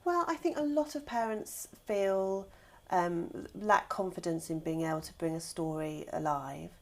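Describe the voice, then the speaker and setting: A woman's voice: fairly low pitch, measured pace. A British woman answering interview questions as a guest, informal and thinking aloud in loose, conversational phrases. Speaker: guest, children's author and scriptwriter.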